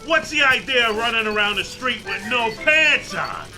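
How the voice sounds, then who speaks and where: An exasperated policeman, blustery and exclaiming. His very high voice swoops around, in vintage comedy style over clear music. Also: animated, normal speed, pushed and bright, heavily acted. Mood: blustering. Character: blustering, exasperated Irish male beat cop.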